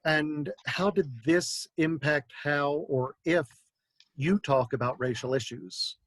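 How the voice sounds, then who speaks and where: A man moderating an online question session in a gentle, polite and halting way. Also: fairly high pitch, dry recording. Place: video call webinar.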